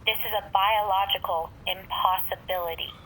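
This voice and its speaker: A woman playing a brisk, sardonic warrior-engineer in a fan audio drama, with a clipped, dry manner. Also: medium pitch, fairly even intonation, a bit bright, a little hiss or hum, lightly acted, low-quality recording. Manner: clipped, dry, matter-of-fact.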